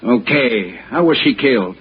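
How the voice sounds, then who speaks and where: A sneering, blustering cop in a 1960s radio mystery. The voice is very husky and swings widely in pitch as he needles. The vintage broadcast is muffled. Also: animated, moderate pace. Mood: badgering, scornful. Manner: sarcastic, badgering, tags questions with "huh". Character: skeptical, sarcastic male cop, needling and blustering. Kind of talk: 1960s radio detective drama.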